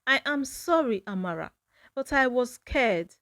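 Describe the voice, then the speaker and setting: A woman plays an anxious young village girl in a folktale. She is timid and apologetic, speaking slowly in a fairly high voice. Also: lively intonation, fairly bright tone, no room sound, clean studio sound.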